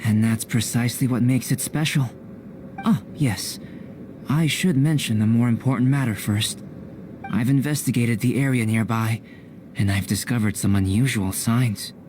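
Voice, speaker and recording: An actor playing a calm young alchemist scholar in a game cutscene, speaking softly with precise, formal, contemplative phrasing. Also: male voice, mid-range voice, moderate pace, slight background noise, lightly acted. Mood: composed, intrigued. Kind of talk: scripted game cutscene acting.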